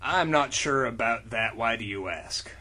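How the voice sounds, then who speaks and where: A man voicing a gruff, brooding male lawman in a deep, husky put-on voice for a comic fan fiction reading. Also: a little slow. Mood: gruff deadpan. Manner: deadpan, dragged-out reading of stilted lines.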